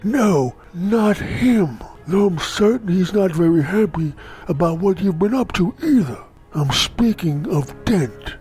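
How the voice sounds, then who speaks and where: An actor playing a nervous, cagey underworld boss in a motion comic, speaking formally, with measured caution. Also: male voice, sits high, expressive, a little mellow, faint music behind. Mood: cautious. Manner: measured, careful, uneasy.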